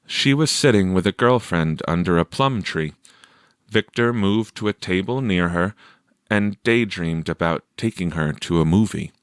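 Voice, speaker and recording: A man reading a story aloud as a scripted narration, with measured, clear phrasing. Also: sits low, no echo, studio quality. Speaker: audiobook reader.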